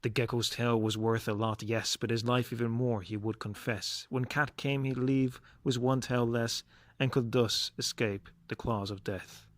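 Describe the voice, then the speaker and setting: Male solo podcast host, medium pitch, reflective and measured, emphatic stresses, dry recording. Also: fairly even intonation, no room sound. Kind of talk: reflective solo podcast monologue with poem reading.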